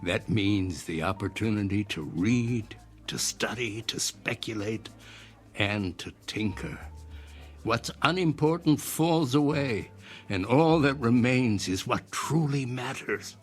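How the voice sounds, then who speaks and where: An actor playing an eccentric older inventor in an audio drama, speaking in a formal, measured, philosophical and persuasive way. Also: male voice, fairly low pitch, animated, soft background music. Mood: wistful, philosophical. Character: eccentric old inventor, courteous, cryptic, philosophical stranger.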